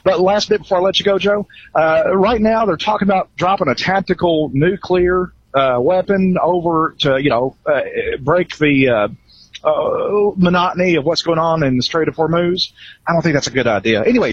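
A man's voice: on the high side, normal speed, slightly rough. A man calling in to a talk radio show, talking in a rambling, hesitant way with many fillers, heard over a dull phone line. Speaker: caller.

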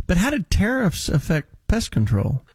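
A man's voice: sits high, wildly swooping pitch, moderate pace, darkish tone, breathy edge, no echo. A man hosting a casual radio call-in show, bantering and giving advice in a blunt, teasing manner.

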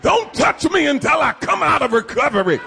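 A man's voice: very high-pitched, big pitch swings, normal speed, vocal fry, bright, forceful tone, soft background music. A man preaching a fiery sermon, exhorting his congregation with commands and repeated refrains. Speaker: pastor. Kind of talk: shouted call-and-response sermon.

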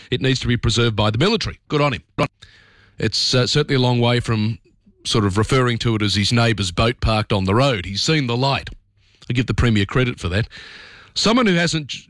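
Brisk Australian talk radio host. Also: male voice, medium pitch, a bit bright, dry recording. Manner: brisk, opinionated, conversational. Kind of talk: talk radio commentary and interview.

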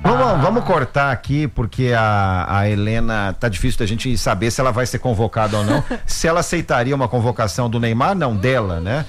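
A man's voice: mid-range voice, a little fast, few pauses, faint music behind. A man anchoring a live sports news show, joking with his co-host and handing off to reporters. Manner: lively, joking, announces the time. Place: studio.

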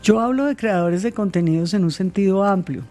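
A woman, answering interview questions in a measured way, with many pauses and fillers. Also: medium pitch, warm tone, faint music behind. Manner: measured, hesitant with fillers and repeated words. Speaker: interviewed guest.

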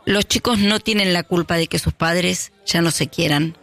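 An Argentine man speaks as a radio host, his voice set high and gravelly with fry. He delivers a reflective monologue in measured lines, pausing for emphasis. Soft music runs faintly beneath him on the broadcast.

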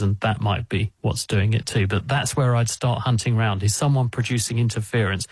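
Calm methodical man explaining science. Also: on the low side, no room sound. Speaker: science expert answering callers. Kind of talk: radio call-in explanation.

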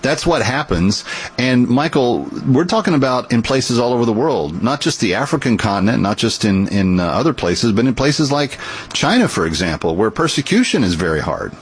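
A man's voice: medium pitch, very animated, normal speed, husky. A man hosting a radio show, steadily reading out a phone number and web address for giving. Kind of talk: radio call to give.

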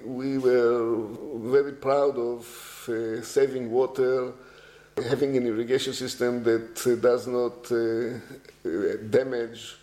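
An older man from Israel, answering interview questions in a halting way with many fillers and restarts. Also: medium pitch, mellow, roomy sound. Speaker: guest, agriculture technology executive.

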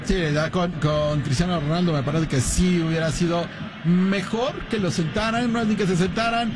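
High-voiced football analyst. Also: male voice, steady tone, normal speed, background noise. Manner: analytical, hesitant restarts. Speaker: color commentator. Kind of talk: match analysis and studio banter.

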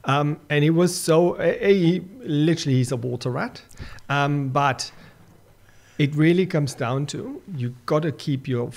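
A man's voice: fairly high pitch, measured pace, vocal fry, darkish tone. A man with a German accent, a surf club secretary and media manager, speaking earnestly and with enthusiasm as a radio guest. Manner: earnest, enthusiastic, with restarts and fillers.

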